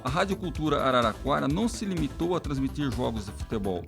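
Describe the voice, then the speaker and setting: A man's voice: medium pitch, big pitch swings, fast talker, vocal fry, over music. A Brazilian man narrating a documentary from a script.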